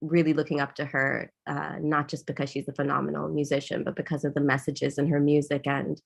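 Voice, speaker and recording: A woman's voice: low voice, level tone, a little fast, creaky, breathy edge. A woman songwriter from Zimbabwe, talking thoughtfully and reflectively in a conversational interview.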